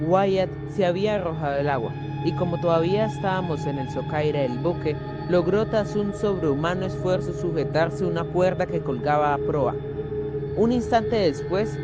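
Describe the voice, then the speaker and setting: A man's voice: high-pitched, dull audio. A young man reading a scripted, dramatic story as narrator. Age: young adult. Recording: music playing clearly behind the voice.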